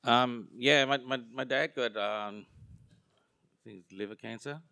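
A man's voice: mid-range voice, slow pace, bright, forceful tone, dry recording, clean studio sound. A young adult man with an Australian accent, being interviewed, talking casually and joking, drifting onto tangents. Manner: rambling, joking, self-deprecating, goes off on tangents.

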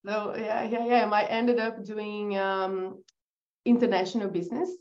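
A Brazilian-accented woman chats through her story on a podcast, warm laughter breaking into her sentences. Her pitch sits in an ordinary middle range, and the recording sounds a little dull and lo-fi. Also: level tone, a little slow. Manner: chatty, laughs often, restarts. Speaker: guest, agency founder. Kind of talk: casual podcast interview.

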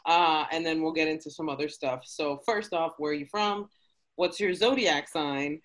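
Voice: medium pitch, normal speed, fairly bright tone. Chatty host on a video call, laughs a lot, super friendly energy. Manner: bubbly, laughs often. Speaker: podcast host. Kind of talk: casual chat interview.

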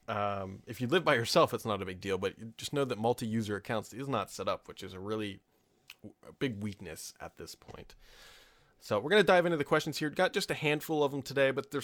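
A man in his thirties talks through a tech podcast from his home office. His voice sits at a middle pitch, and he chats casually with firm opinions and plenty of ums and quick asides. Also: animated, fairly bright tone. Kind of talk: casual solo podcast chat.

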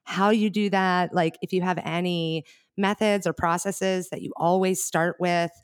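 A woman's voice: lowish voice, fairly even intonation, measured pace, clear, resonant tone. A woman hosting an interview, asking rambling, friendly questions in a casual way. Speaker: host.

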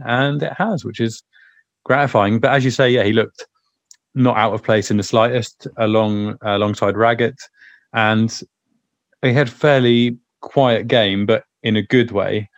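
A man co-hosting a casual football podcast with a hoarse, croaky voice, rambling and restarting his sentences. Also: fairly low pitch, steady tone, moderate pace, dry recording, lo-fi sound. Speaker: podcast co-host.

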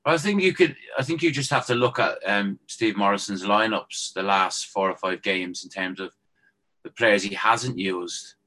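A man's voice: on the low side, normal speed, bright, forceful tone. An Irish man, a former footballer, chatting casually and joking as a guest on a phone-in show. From Ireland. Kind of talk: casual chat.

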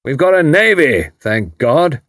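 A man voicing a hearty, blustering older British commander with an upper-class English accent and a loud, barking, clipped delivery in an audiobook. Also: on the high side, very animated, unhurried, no room sound, studio quality, voice pushed up. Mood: blustering, indignant. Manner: bluff, emphatic, clipped phrasing.

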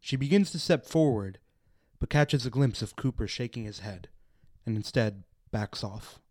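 Mid-pitched radio play narrator. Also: animated, moderate pace, a little mellow. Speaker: narrator reading stage directions.

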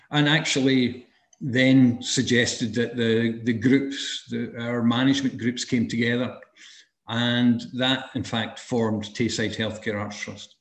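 A Scottish man in his sixties speaks at an ordinary pitch for a man. He talks thoughtfully over a video call and often pauses with ums and restarts. His voice carries the echo of the room he sits in. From Scotland. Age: 60s. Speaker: interviewee, arts and health practitioner. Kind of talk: oral history interview.

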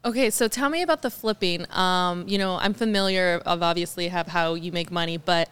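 A woman hosting a podcast in casual chat, loud and upbeat, laughing often. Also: lowish voice, brisk, clean tone. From Los Angeles. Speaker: podcast host.

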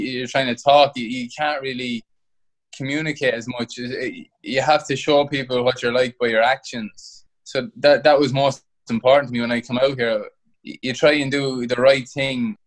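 A man's voice: mid-range voice, poor audio. A young Irish man, a footballer playing abroad, talking casually in an interview and often restarting his words. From Ireland. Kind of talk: interview over a call.

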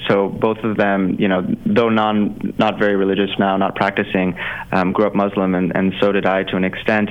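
A young man whose first language is Farsi, answering interview questions in an earnest, reflective way, with frequent fillers and restarts. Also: low voice. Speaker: author and entrepreneur guest. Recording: slightly dull lo-fi audio.

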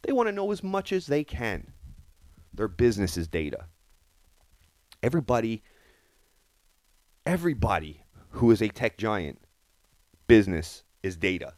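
A man's voice: lowish voice, a little mellow, dry recording, low-quality recording. A man hosting a podcast, giving indignant, emphatic commentary on current affairs and repeating phrases for stress. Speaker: podcast host.

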